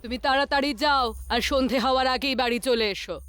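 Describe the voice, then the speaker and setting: A woman's voice: sits high, fast talker, a bit bright, clean tone, dry recording. A woman playing a middle-aged village mother-in-law in a dramatised story, motherly and giving instructions. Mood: motherly, instructing. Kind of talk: dramatised horror story acting.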